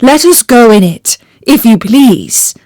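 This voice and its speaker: A woman's voice: fairly high pitch, sing-song, takes it slowly, a little mellow, no room sound, studio quality, voice pushed up. A woman reading a hearty, delighted fairy-tale king. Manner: exclaiming, stately. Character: grand old king, pleased and hearty.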